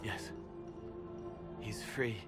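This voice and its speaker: An actor voicing a gentle young spirit in a fantasy game, speaking in cryptic, poetic fragments. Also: male voice, extremely slow, in a large hall, music in the background. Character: gentle, otherworldly young male spirit boy.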